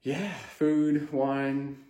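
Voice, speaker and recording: A man's voice: mid-range voice, completely flat delivery, extremely slow, warm tone. A man in his thirties chatting casually on a video blog, hesitant, with many fillers and restarts. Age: 30s. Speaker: vlog co-host. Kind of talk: casual chat. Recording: echoey room.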